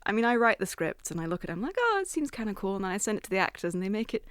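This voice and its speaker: A woman's voice: mid-range voice, sing-song, very fast, a bit bright, clean tone, no room sound, studio quality. A woman from New Zealand chatting casually as a radio interview guest, giggly and self-deprecating, tending to ramble. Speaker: interview guest, audio drama writer.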